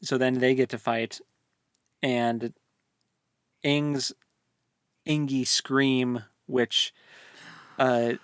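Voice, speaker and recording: A man's voice: medium pitch, fairly even intonation, very slow, long pauses, clear, resonant tone, no room sound, studio quality. A man chatting casually as a podcast co-host, with a colloquial, slangy, jokey way of talking. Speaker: co-host of a book discussion podcast. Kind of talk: casual chat recapping and reviewing a fantasy novel.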